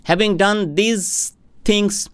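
A middle-aged man from India, a homeopathic doctor, explaining a health topic to camera in a formal, deliberate way, speaking into a studio microphone. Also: high voice, fairly even intonation, a bit bright, clean tone, no room sound. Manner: slow, deliberate, formal explaining with hand gestures. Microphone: studio microphone on a boom arm in front of him.

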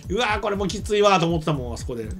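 A man's voice: high voice, sing-song, rapid-fire. A middle-aged man chatting casually and giving his opinions as a co-host on an anime talk show. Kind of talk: casual chat about anime. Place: small indoor studio set, seated at a table. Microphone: desk microphone. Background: background music.

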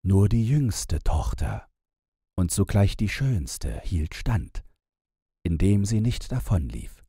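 A man, narrating a bedtime fairy tale in a measured, gentle storytelling manner, close to the microphone. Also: low-pitched, big pitch swings, slightly rough, no room sound. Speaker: audiobook narrator.

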